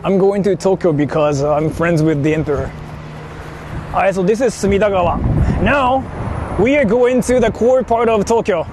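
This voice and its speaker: High-pitched man over car noise. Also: normal speed. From Japan. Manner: colloquial, slangy, explaining things to viewers. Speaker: livestream host. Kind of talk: casual livestream chat while driving. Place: inside a moving car in a city. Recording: clear vehicle and street noise. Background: car and urban traffic noise.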